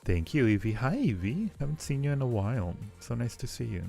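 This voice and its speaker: A man chatting casually with viewers as a livestream host, sometimes halting as he explains things. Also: medium pitch, expressive, creaky, mellow. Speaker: streamer and audio roleplay creator. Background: faint music.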